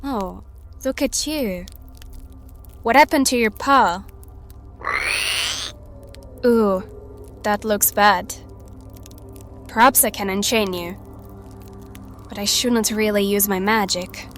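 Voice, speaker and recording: An actress playing a young magic user, wary yet kind-hearted, in a measured audio drama style. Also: female voice, medium pitch, soft background music, natural voice. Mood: gentle, concerned.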